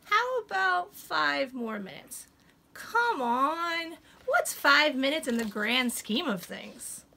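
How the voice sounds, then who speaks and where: A woman's voice: sits high, very animated, takes it slowly, creaky, pushed and bright, exaggerated voice. A woman voicing a stubborn little pigeon from a picture book, pleading against bedtime in a whiny, wheedling, dramatic way. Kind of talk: animated picture-book read-aloud. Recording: some room noise behind.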